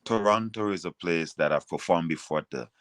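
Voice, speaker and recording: A man's voice: low voice, brisk, fairly bright tone, clear, resonant tone, no echo, studio quality. A man with a Jamaican accent chatting casually as a singer being interviewed and promoting himself.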